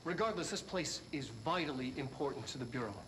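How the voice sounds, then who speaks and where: An actor playing an eccentric middle-aged male head scientist in a video game, lecturing deliberately and haltingly in an instructional video. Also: mid-range voice, animated, noisy background, lightly acted. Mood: earnest lecturing. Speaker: head of research. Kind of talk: in-world instructional video presentation.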